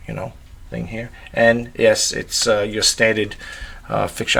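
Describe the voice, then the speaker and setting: A man talks through a casual tutorial vlog with a gravelly, monotone voice. He rambles, circling back with fillers and false starts as he explains things to his viewers. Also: lowish voice, a little hiss or hum. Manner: rambling, many fillers and restarts. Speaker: content creator.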